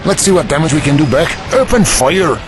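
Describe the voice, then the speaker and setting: A man's voice: fairly high pitch, very animated, fairly quick, background noise. A man with a Scottish accent playing an impatient, sardonic Prime Minister in an audio drama. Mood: commanding. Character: brusque, sarcastic Prime Minister commanding under attack.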